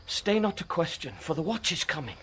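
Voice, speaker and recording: An actor playing an older, anxious friar in a classical radio drama, formal and urgent. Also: male voice, fairly high pitch, sing-song, fairly quick, fairly bright tone, a little hiss or hum. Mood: urgent pleading. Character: kindly old friar, anxious and horrified.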